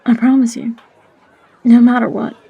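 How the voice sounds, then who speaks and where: A woman playing a warm, teasing barkeep and fortune teller in a character audio roleplay, coaxing and unhurried as she calls the listener love. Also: on the high side, level tone, gravelly, husky, highs cut off, some chatter behind. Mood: gentle, encouraging.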